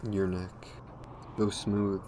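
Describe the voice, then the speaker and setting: A man's voice: on the low side, monotone, background noise. A man playing a courtly, seductive vampire in an intimate roleplay audio, speaking formally and coaxingly to the listener. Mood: seductive, soothing. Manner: formal, gentlemanly, coaxing, unhurried. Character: courtly, seductive male vampire, hungry and predatory.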